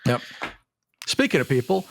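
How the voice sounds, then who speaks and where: A man's voice: mid-range voice, very animated, normal speed, gravelly, slight background noise. Blunt, casual man co-hosting a podcast. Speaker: podcast co-host. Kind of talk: casual chat about tabletop game monsters.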